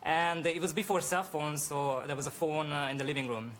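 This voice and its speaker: A man's voice: fairly high pitch, brisk, a bit bright, a little hiss or hum. A man from Hungary with a Hungarian accent, casually telling a personal story as a studio guest. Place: television studio.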